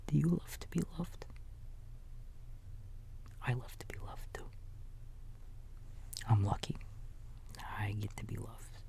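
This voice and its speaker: A man plays a babysitter reading a bedtime story in ASMR style. He whispers slowly with a crackly fry, soothing and informal, drifting into little asides for a child. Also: fairly low pitch, animated, warm tone. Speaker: ASMR roleplayer playing a babysitter.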